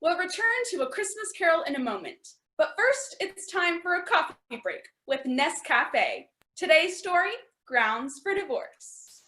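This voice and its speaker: A woman's voice: very high voice, very animated, a little slow, hardly any pauses, bright, forceful tone. A woman delivering scripted announcements for a radio play in an old-time broadcast style. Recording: roomy, echoing voice recording.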